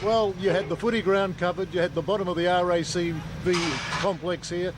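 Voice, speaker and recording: An Australian man works as a field reporter for television news. His voice sits a little high, and he presents and puts questions on location, with distinct urban outdoor noise behind him. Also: fairly even intonation, a little fast. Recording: noisy outdoor location with vehicle ambience.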